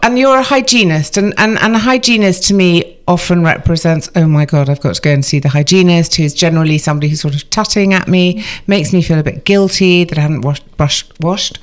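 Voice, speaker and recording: A woman's voice: fairly low pitch, fairly quick, hardly any pauses. A middle-aged woman co-hosting a chat in a clinic, chatty and self-deprecating, rambling a little as she talks. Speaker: co-host, journalist. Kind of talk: casual chat. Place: clinic room.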